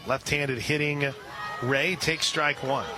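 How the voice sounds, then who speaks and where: A man calling a softball game on the radio, describing each pitch and reading sponsor spots between plays. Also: fairly even intonation, slow pace, a crowd in the background, noisy background. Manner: rises sharply and loud on big plays. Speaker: radio play-by-play announcer. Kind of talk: live softball play-by-play.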